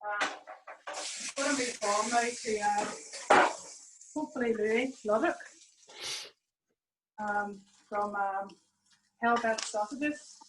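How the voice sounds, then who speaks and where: A woman's voice: mid-range voice, takes it slowly, long pauses, reverberant, far from the mic, background noise. A woman chef hosting a live virtual event from her kitchen, effusive with praise and given to small stumbles. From Northern Ireland. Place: home kitchen. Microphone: video call.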